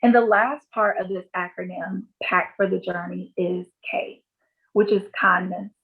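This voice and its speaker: A woman's voice: lowish voice, fairly even intonation, measured pace, creaky. A woman giving an earnest, encouraging motivational talk to close a founders' session. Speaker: speaker. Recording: tinny phone-like call audio.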